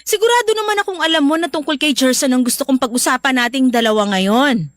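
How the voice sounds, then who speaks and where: A woman's voice: sits high, fast talker, no echo, clean studio sound, lightly acted. A woman acting an emphatic, theatrical female character in a Filipino radio drama. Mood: indignant, pleading. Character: indignant estranged wife, pleading fiercely; also teasing coworker.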